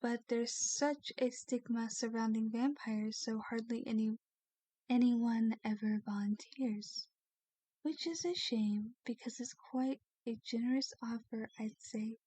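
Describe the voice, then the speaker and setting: A woman playing a kind, genteel vampire in an ASMR roleplay, speaking gently and soothingly with formal wording and a soft, sometimes breathy voice. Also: on the high side, fairly even intonation, unhurried, dry recording. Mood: softly explaining.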